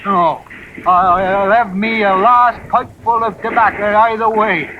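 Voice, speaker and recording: High, cranky old farmhand. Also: male voice, fairly even intonation, slow pace. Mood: grumbling, stubborn. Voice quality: high-pitched old man's voice. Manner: folksy, indignant, plain-spoken. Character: grumbling, stubborn old rustic farmhand. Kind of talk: old-time radio drama acting. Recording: dull, faintly noisy old radio recording.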